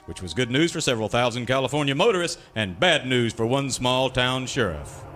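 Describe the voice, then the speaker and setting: An actor playing a melodramatic old-time radio serial announcer, declaiming scripted narration with mock seriousness. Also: male voice, mid-range voice, expressive, brisk, a bit bright, soft background music, close to an everyday voice. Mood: mock-serious.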